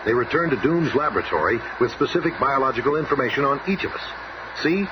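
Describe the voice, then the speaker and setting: An actor playing a calm, earnest male scientist team leader explaining things in a 1970s superhero radio drama. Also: mid-range voice, fast, natural voice. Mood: measured, assured. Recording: muffled, very noisy radio recording.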